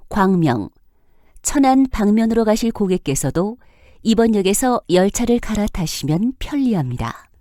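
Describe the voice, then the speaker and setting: A woman reading scripted subway announcements in a formal, measured manner. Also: mid-range voice, dark tone, no room sound, clean studio sound.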